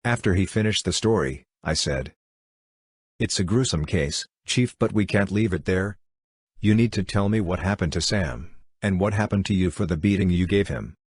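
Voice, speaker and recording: An actor playing a calm writer who narrates and questions a retired chief in a radio drama. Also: male voice, low voice, normal speed, breathy edge, no echo, clean studio sound. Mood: measured. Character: measured male writer-narrator listening to a chief. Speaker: narrator and interviewer.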